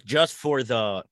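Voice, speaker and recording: Man, podcast host, casual sports chat, rambling, repeats words, mid pitch, dry sound. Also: vocal fry, fairly bright tone, dry recording.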